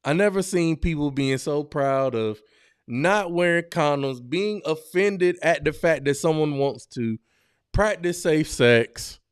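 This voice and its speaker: A male podcast host talks slowly and at ease, in a smooth, clean voice. His casual reaction commentary is slangy, blunt and joking, and he often breaks into exclamations. The sound is dry and close to the mic. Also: on the high side, no echo.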